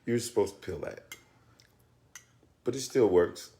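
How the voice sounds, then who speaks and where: A man's voice: lowish voice, unhurried, dark tone. A man, teasing his wife, then teaching about relationships on a live stream. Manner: teasing, affectionate, then earnest. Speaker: co-host of a church live stream. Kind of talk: casual chat turning to teaching.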